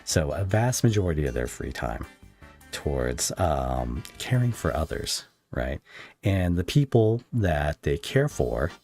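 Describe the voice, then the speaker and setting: Man with an extremely deep voice, measured. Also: very animated, darkish tone, soft background music. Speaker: podcast host, interviewer. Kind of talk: casual conversational interviewing.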